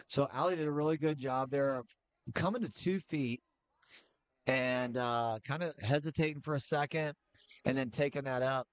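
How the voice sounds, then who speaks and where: A middle-aged man doing casual play-by-play for a high school basketball game, chatty and joking, with filler pauses. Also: clear, resonant tone, no echo. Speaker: play-by-play commentator.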